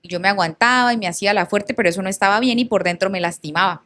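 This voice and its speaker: A woman's voice: mid-range voice, very fast, fairly bright tone. A woman narrating and reading a personal storytime in a conversational way, with asides to her viewers. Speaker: content creator reading followers' stories.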